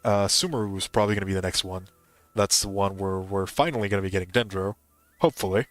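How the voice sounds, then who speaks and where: A man's voice: low-pitched, fast, dry recording. A man giving casual, musing commentary as he streams a game. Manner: musing, speculative.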